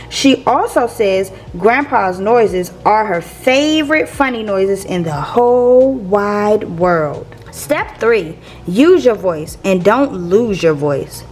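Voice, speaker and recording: A woman reading a picture book aloud to young listeners in a playful, expressive teacher's manner. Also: on the high side. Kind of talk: expressive children's read-aloud.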